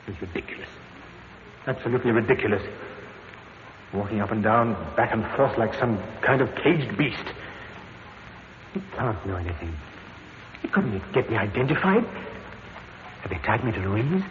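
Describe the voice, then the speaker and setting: An actor playing a nervous, stammering murder suspect in a vintage radio drama. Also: male voice, lowish voice, expressive, moderate pace, long pauses, big-room sound, lightly acted. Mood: anxious muttering. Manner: fretful, stammering, self-justifying. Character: nervous middle-class murder suspect, guilty, indignant. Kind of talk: 1950s radio drama acting. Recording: tinny noisy old radio broadcast.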